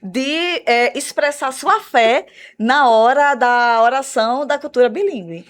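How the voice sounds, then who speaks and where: A woman with a Brazilian Portuguese accent, an English teacher explaining her school's program in a radio interview, measured and full of "né?" tags. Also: high voice. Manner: measured, explanatory, repeats words with "né?" fillers.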